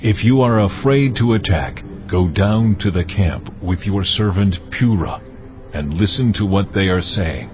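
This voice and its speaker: God, audio Bible drama, deep male voice, solemn, commanding, deliberate, slightly muffled. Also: expressive. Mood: solemn assurance. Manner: slow, weighty, deliberate delivery. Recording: muffled audio under music.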